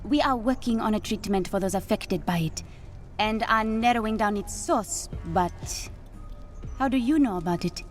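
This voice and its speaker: A woman voices a bright young royal inventor in a game cutscene. Her African accent is paired with an assured, exact delivery and a mid-range voice, over a faint musical score. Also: mid-range voice, moderate pace, soft background music, close to an everyday voice. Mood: confident. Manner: precise, self-assured. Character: confident, clever young princess and scientist. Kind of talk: video game cinematic acting.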